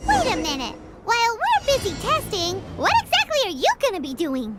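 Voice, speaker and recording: A woman voicing a tiny, excitable floating fairy companion who talks about herself in the third person, in video game voice acting. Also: a little fast, exaggerated voice. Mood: suspicious. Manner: high squeaky chatter, third-person self-reference. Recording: game audio with music underneath.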